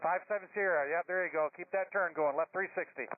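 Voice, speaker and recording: Male air traffic controller. Quick, directive, casual delivery through a thin, tinny aviation radio channel. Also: sits high, dry recording. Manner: directive, informal, encouraging. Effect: radio transmission.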